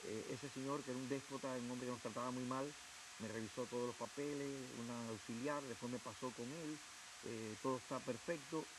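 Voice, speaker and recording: A fast-talking man with a Cuban Spanish accent recounts his story as an interview guest, voicing the officials he quotes with emotion. His voice sits at an ordinary pitch. A steady hiss runs under the broadcast audio. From Cuba. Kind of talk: interview, personal testimony.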